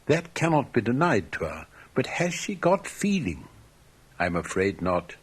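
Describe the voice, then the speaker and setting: Man playing a pompous, husky young student. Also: mid-range voice, wildly swooping pitch, a little slow, exaggerated voice. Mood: smug, dismissive. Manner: pompous, musing aloud. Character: smug, dismissive young male student, bookish.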